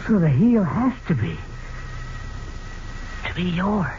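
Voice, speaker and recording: An actor playing a bitter man who accuses the woman he loved, measured and deliberate, in a 1940s radio drama. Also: high voice, wildly swooping pitch, full character voice. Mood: quietly accusing. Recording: dull old radio recording, faint noise.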